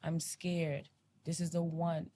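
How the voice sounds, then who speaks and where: A woman's voice: low-pitched, completely flat delivery, slow pace, warm tone. A woman co-hosting a podcast, speaking with passion, repeating herself as she pleads with listeners. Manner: impassioned, repetitive, pleading. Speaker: podcast co-host. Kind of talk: earnest podcast discussion.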